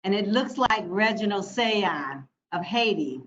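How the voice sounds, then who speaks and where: An American woman delivers a prepared formal speech in a deliberate, emphatic manner with rhetorical repetition. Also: medium pitch, expressive, a bit bright. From United States (Michigan). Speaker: speaker from a funding organization. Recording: dull lo-fi audio with background noise.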